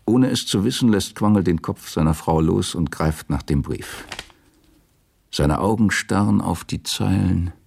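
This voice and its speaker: Very deep, gravelly male narrator. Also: unhurried, darkish tone. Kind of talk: scripted literary narration for radio drama.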